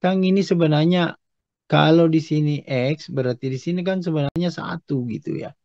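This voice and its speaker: A man's voice: fairly high pitch, steady tone, moderate pace, mellow. A man giving a step-by-step maths lesson as a lecturer, patiently explanatory and often checking that students follow. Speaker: mathematics lecturer. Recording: echoey room, dull muffled sound.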